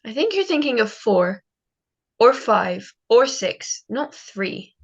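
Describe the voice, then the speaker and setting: Actress as young 1940s wife, radio play, lightly high pitch, teasing, tender, muffled sound. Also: female voice, big pitch swings, takes it slowly, exaggerated voice. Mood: wry, teasing. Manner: affectionate, wry, tender. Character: loving, gently teasing young wartime wife. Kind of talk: 1940s radio drama acting. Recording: dull muffled audio, faint music.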